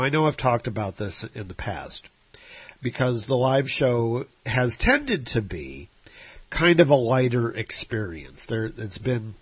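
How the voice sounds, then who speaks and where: A Midwestern American man hosts his own podcast with a congested, nasal voice and a slightly husky tone. He talks in a rambling, apologetic way, full of restarts and fillers. The recording is dry and a little dull, like a lo-fi home setup. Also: mid-range voice, dry recording. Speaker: podcast host. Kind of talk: solo podcast monologue.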